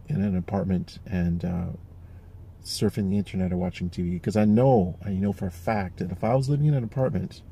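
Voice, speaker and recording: Gravelly-voiced man in his forties musing. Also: sits low, dark tone, a little hiss or hum. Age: 40s. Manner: rambling, thoughtful, frequent fillers and restarts. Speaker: vlogger. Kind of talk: casual reflective monologue.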